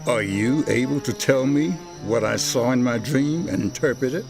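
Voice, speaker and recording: A man's voice: medium pitch, normal speed, creaky, lightly acted. Man acting a grand, commanding king over music. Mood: demanding. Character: Nebuchadnezzar, imperious king of Babylon, awed. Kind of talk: dramatized audio Bible acting.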